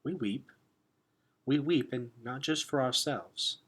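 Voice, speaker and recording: A man voicing a solemn, guarded young warrior girl in a storybook, in formal, measured words. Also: mid-range voice, a bit bright, breathy edge, voice pushed up. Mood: quiet, solemn. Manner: formal, measured speech without contractions.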